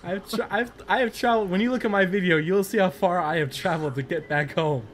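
A man chatting casually with a friend while gaming, excitable and quick to exclaim and swear. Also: sits high, slight background noise. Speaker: gamer playing in co-op. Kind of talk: casual gameplay chat with a friend.

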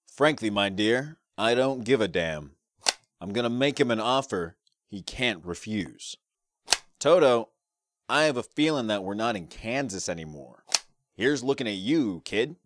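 A young man performing classic movie characters in short, deadpan, theatrical one-liners. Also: on the low side, unhurried. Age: young adult. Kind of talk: amateur impressions of film quotes. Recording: clearly noisy background with clicks.

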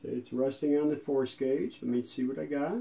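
A man casually narrating a hands-on demonstration as host, folksy and unhurried, thinking aloud as he goes. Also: medium pitch, fairly even intonation, vocal fry, mellow. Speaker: video host and radio restorer.

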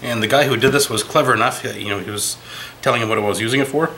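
A man's voice: medium pitch, fast, sharp tone, slight background noise, poor audio. A man with a sniffle, casually talking through his work at a workshop bench, self-deprecating and thinking aloud. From United States. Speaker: metal scrapping YouTuber. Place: indoor workbench in a workshop.

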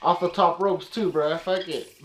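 A young man hosting an anime reaction video through a headset, talking in loose slang with frequent swearing and quick exclamations. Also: sits high, steady tone, echoey room, music in the background, low-quality recording. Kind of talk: casual reaction commentary. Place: small room indoors. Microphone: gaming headset.

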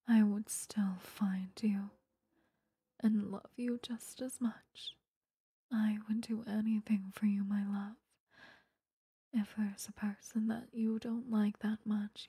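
Woman voicing possessive female vampire lover for ASMR roleplay: very breathy whisper, slow, seductive, doting, close and dry. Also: a little high, mellow, no room sound, exaggerated voice. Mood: devoted, menacing. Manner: slow, intimate, cooing. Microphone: close microphone, dry.

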